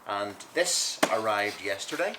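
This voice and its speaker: A man hosting a casual chat in a back garden, joking and filling pauses with ums and uhs. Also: lowish voice, expressive. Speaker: host, music writer. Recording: noisy outdoor recording.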